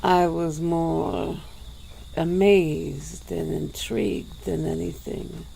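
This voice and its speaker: Woman playing a slow, gravelly ancient vampire. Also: lowish voice, level tone, dark tone, a little hiss or hum, exaggerated voice, low-quality recording. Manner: slow, measured, formal speech. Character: centuries-old female vampire, calm and regretful. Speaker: ASMR roleplay performer. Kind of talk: ASMR interview roleplay.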